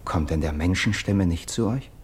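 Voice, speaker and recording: An actor playing an earnest Greek captive in a classical verse radio drama, declaiming solemnly and dramatically. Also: male voice, deep voice, normal speed, slightly rough. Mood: grave, urgent. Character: earnest Greek captive bearing grim news of murder.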